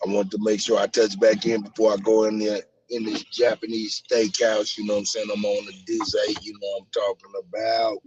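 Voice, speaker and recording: A man's voice: on the low side, monotone, brisk, few pauses, lo-fi sound, over music. A man calling in to a casual chat, talking in slang and repeating his phrases. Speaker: panel caller. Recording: distant mic, faint ambient noise.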